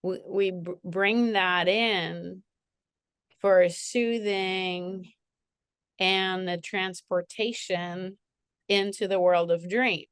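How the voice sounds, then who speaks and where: Woman host thinking out loud, lots of restarts, dry close sound. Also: on the low side, fairly even intonation, very slow, smooth, no echo. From partly raised in Switzerland. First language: bilingual, French second language. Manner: reflective, with many restarts and fillers. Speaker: moderator. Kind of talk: panel conversation.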